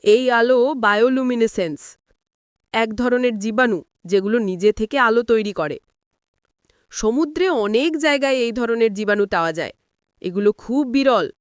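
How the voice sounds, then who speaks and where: Woman voicing a calm, upbeat older father-in-law, high-pitched. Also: moderate pace, clear, resonant tone, dry recording, natural voice. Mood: marvelling, explanatory. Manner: reassuring, explanatory. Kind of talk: storybook dialogue.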